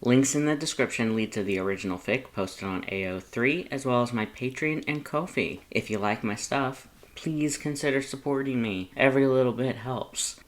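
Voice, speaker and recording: Someone reading fanfic out loud, middle pitch voice, expressive storyteller style. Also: moderate pace, hardly any pauses. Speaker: fan fiction narrator.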